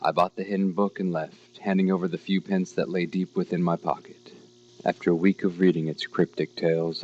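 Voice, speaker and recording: A man narrating a scripted horror story in a measured, dramatic, literary manner. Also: low voice, dull audio, a little hiss or hum. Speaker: story reader.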